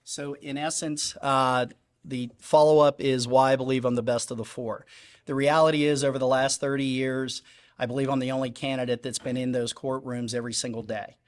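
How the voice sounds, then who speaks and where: A man's voice: medium pitch, little pitch movement, brisk. Earnest candidate man, emphatic repeats. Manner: earnest, emphatic, repetitive phrasing. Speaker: judicial candidate. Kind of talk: candidate forum statement. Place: public forum hall.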